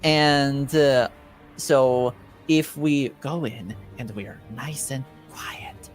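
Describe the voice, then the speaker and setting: A man narrating a tabletop roleplaying game as game master, with a hesitant, halting delivery. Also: medium pitch, soft background music.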